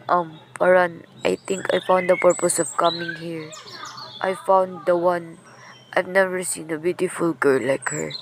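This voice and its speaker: A woman playing a young male chieftain in an amateur radio drama, earnest and a little halting. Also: on the low side, noisy background. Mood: eager. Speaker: student actor in a radio drama.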